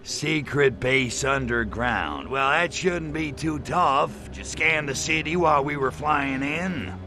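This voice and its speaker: An actor playing a cocky, irritable alien invader in a video game, sarcastic and brash, full of sneering wisecracks about humans. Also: male voice, mid-range voice, slow, bright, forceful tone, faint music behind. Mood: businesslike. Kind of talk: video game cutscene voice acting.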